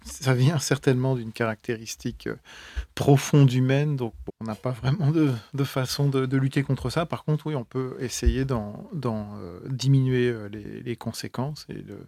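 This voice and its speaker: Halting man linguist, dry sound. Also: medium pitch, steady tone, creaky, warm tone, no echo. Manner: halting, many filler pauses. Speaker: linguist guest. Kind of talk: interview answers.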